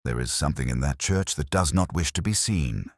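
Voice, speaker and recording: A man voicing a mild, wry, thoughtful police inspector in an audio mystery, measured, formal and dryly understated. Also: rumbling low, expressive, no echo, studio quality, heavily acted.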